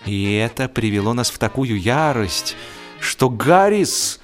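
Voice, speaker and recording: A man narrating a humorous literary story in a theatrical, expressive manner, with emphatic swells and deliberate pauses. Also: medium pitch. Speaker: audiobook narrator. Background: music.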